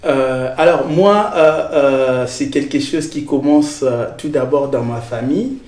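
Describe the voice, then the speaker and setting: Hesitant man from the Congo, mellow voice. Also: mid-range voice. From Democratic Republic of the Congo. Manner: hesitant, many filler sounds, measured. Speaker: guest, researcher and lecturer. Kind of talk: interview answers. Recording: roomy echo.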